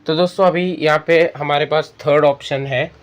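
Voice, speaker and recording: A man's voice: fairly high pitch, fairly even intonation, normal speed, muffled recording, a little hiss or hum. A man hosting an app tutorial, explaining step by step and addressing viewers as friends. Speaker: tutorial host. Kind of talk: spoken screen-reader app tutorial.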